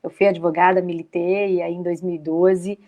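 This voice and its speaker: Female Brazilian guest, middle-aged, slightly low voice, casual personal talk with halting repeated words. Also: flat delivery, warm tone. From São Paulo, Brazil. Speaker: interview guest, lawyer turned executive.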